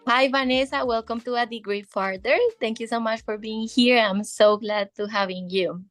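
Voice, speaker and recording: A woman's voice: a little high, steady tone, normal speed, faint music behind. A woman hosting a podcast, reading an introduction and asking questions in English with small slips. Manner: reads intro, speaks English as a second language with small slips. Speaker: podcast host.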